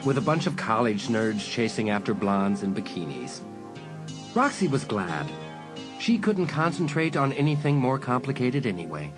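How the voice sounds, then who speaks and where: A man narrating a suspense audiobook in a measured, dramatic storytelling manner. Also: lowish voice.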